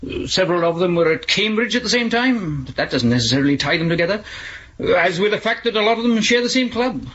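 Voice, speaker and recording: A man's voice: high-pitched, expressive, fast, fairly bright tone. A man playing a smug, precise government expert in a 1970s radio drama, speaking formally and pedantically. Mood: smug, measured. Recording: dull lo-fi 1970s radio recording.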